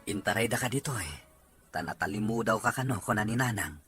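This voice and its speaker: A man's voice: fairly low pitch, lively intonation, fast, fairly bright tone, faint music behind. A man playing a concerned, attentive young husband with a Filipino accent in an Ilocano audio drama. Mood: caring.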